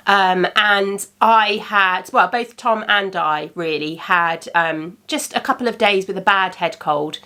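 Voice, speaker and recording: Bright, chatty woman podcast host. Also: mid-range voice, takes it slowly, hardly any pauses. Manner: chatty and rambling, many ums. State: congested from a cold. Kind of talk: casual chat.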